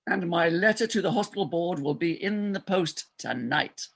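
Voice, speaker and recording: A bright, forceful and high voice from a male actor for a desperate farce doctor. He is raspy and insistent, forever cajoling his colleague. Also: expressive, normal speed, exaggerated voice. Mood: coaxing. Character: desperate, fast-talking married doctor wheedling a colleague. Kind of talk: stage farce readers theater acting.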